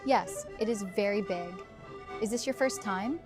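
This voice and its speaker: A woman's voice: mid-range voice, a little slow, close to an everyday voice. A woman playing a friendly, flirtatious stranger at a bar in a scripted radio drama. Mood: playful. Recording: music behind the voice.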